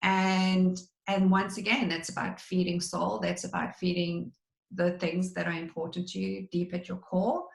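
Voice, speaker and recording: A woman hosting an interview, talking candidly and with self-deprecating humour in a relaxed conversational way. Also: on the low side, normal speed, gravelly, roomy sound, poor audio. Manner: candid, self-deprecating, talks about herself freely. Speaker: podcast host.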